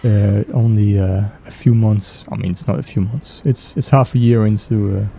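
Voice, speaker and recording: A man's voice: lowish voice, fairly even intonation, moderate pace, gravelly, warm tone, slight background noise. A young Danish man with a Danish accent, chatting casually while playing, with many fillers and restarts. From Denmark. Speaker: gaming YouTuber. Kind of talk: casual gameplay chat.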